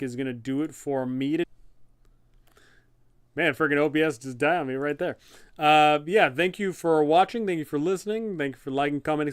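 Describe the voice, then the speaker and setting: A man with a slightly high, clean and resonant voice hosts a casual livestream. He talks half to himself in short, loose phrases. The sound is close and dry. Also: smooth, no room sound. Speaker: livestream host, tabletop game master and content creator. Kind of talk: casual livestream chat, thinking aloud while working.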